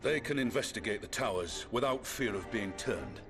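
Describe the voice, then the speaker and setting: An actor playing a stern, composed military commander, formal and authoritative, in a video game cutscene. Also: male voice, lowish voice, animated, normal speed, lightly acted. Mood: grave, resolute. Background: prominent orchestral music.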